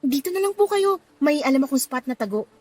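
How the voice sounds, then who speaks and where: Chatty young woman receptionist, higher-pitched. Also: lively intonation, fast, darkish tone, faint music behind. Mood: polite, friendly. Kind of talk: Tagalog radio drama acting.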